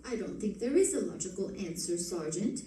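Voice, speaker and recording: A woman playing a poised, affectionate detective's wife in an old-time radio drama, cool and gently dry. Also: mid-range voice, expressive, fairly quick, natural voice. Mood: calm, puzzled. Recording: echoey, distant, noisy stage recording.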